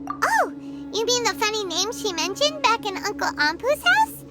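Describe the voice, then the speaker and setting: A woman's voice: very high-pitched, sing-song, normal speed, over loud music. A woman voicing a small, chatty female fairy companion in a video game cutscene, eager and gushing and referring to herself in the third person. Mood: excitable.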